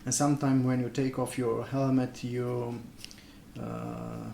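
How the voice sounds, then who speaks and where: Slow, gravelly non-native English-speaking man, echoey room. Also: mid-range voice, level tone, dark tone. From Transylvania, Romania. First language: not English. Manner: hesitant, halting, searching for words. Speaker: product reviewer. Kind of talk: casual unboxing commentary. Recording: echoey room, noisy, clicks and crinkling.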